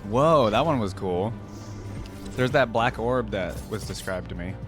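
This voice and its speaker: A man giving casual reaction commentary as a video host, inquisitive and easily excited by what he watches. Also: fairly low pitch, unhurried, music in the background.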